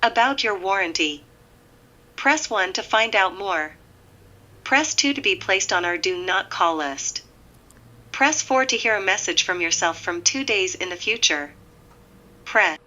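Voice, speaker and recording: A woman's voice: medium pitch, animated, a little slow, pauses often, pushed and bright, dull audio. A woman playing an automated telemarketing phone menu, reciting options evenly. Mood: impersonal, scripted.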